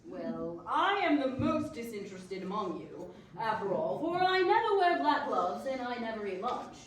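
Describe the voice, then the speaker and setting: A woman's voice: fairly high pitch, sing-song, normal speed. A woman playing an indifferent, gossiping businessman in a readers theater drama, offhand, in a very echoey room. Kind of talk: readers theater stage acting. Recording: very echoey room, distant mic, faint noise.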